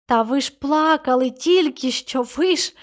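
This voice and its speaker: A woman plays a desperate young male stranger in a spoken story, pleading and anguished. The voice is fairly high and keeps breaking into raw, loud outbursts. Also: unhurried.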